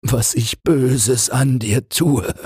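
A man's voice: medium pitch, very slow, a little mellow, breathy edge, dry recording, clean studio sound, pitched above the performer's own voice, full character voice. A man voicing a humble, fearful miller and father in a fairy tale, earnest and pleading.

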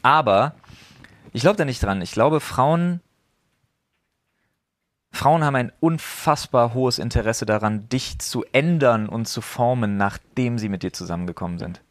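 A man's voice: mid-range voice, a little slow, no echo, clean studio sound. A man on a podcast, opinionated and emphatic, arguing firmly for his own view. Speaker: podcast host. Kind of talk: casual chat.

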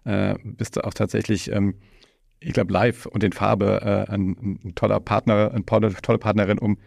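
A man with a low, creaky voice hosts a casual podcast conversation. He circles around his thoughts in rambling phrases. The sound is close and dry. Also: flat delivery, normal speed, darkish tone, no room sound. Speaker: podcast host interviewing a guest.

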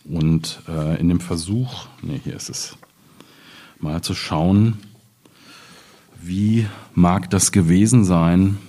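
Low, mellow, husky German man. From Ruhr area, Germany. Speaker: poet at a public reading. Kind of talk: casual explanatory talk.

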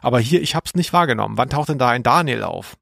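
A man's voice: medium pitch, expressive, fast talker, vocal fry, a bit bright, no echo, studio quality. A man co-hosting a podcast in humorous casual chat, explaining things like a joking mock expert. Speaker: podcast co-host.

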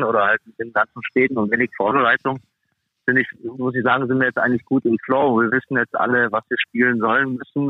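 A man giving casual, halting interview answers as a professional athlete guest. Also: mid-range voice, no room sound. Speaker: guest, professional ice hockey player. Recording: thin phone-like band-limited sound.

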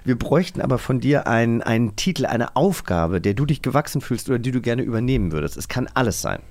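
A man's voice: mid-range voice, big pitch swings, fast, darkish tone, dry recording. A man co-hosting a podcast in a casual, jokey and somewhat rambling way. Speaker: podcast co-host.

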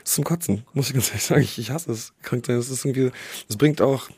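A man who is sick and hoarse, co-hosting a podcast in casual chat, often halting to search for words. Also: medium pitch, darkish tone, no echo, clean studio sound. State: hoarse from a cold. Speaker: podcast co-host.

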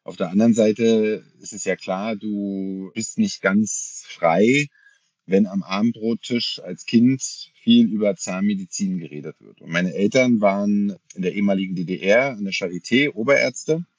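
A man in his forties with a fairly low voice talks as a podcast guest. He speaks in a measured way, often correcting himself mid-sentence and using fillers. The sound is dry and close. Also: dry recording. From Germany. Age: 40s. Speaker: dentist guest. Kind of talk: podcast interview.

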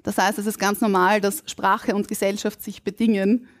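A woman from Burgenland with an Austrian German accent, a linguist on a live podcast stage, explaining in a measured, academic way. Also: medium pitch, flat delivery. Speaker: guest linguist. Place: stage before a live audience.

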